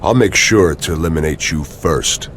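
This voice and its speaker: A man's voice: extremely low pitch, normal speed, fairly bright tone, slight background noise. A man playing a cold, menacing rival pro wrestler in a video game, with a curt, taunting manner. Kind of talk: scripted video game cutscene acting.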